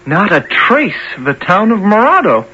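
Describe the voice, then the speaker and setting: Wry, high-voiced young man. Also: sing-song, moderate pace, slightly rough, heavily acted. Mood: practical. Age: young adult. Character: puzzled, skeptical young male traveler, wry and practical. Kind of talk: 1970s radio drama acting. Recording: muffled old radio recording, loud noise.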